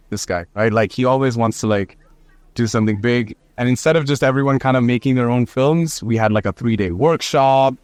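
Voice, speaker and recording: A man co-hosting a podcast in casual chat, his voice raspy and a little hoarse from a cold, telling stories in an animated way. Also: mid-range voice, no echo. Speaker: podcast co-host.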